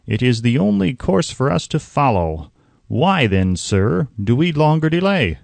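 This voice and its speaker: A man's voice: mid-range voice, very animated, a little slow, gravelly, a little mellow, no room sound, pitched above the performer's own voice. A man voicing an 18th-century statesman making a formal, fervent speech in an audiobook. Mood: urgent, fervent.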